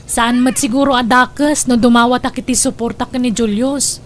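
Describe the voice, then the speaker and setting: A woman's voice: fairly high pitch, fairly even intonation, moderate pace, slight background noise, natural voice. A woman playing a discontented, worried young wife in an audio drama, with an Ilocano Filipino accent. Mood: defensive.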